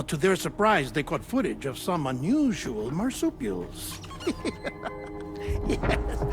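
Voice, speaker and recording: An eccentric male scientist gives a theatrical game monologue. His voice is fairly high and swings widely, deliberate and then suddenly flourishing. Music plays behind him. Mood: intrigued. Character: theatrical, eccentric scientist leaving a recorded farewell message.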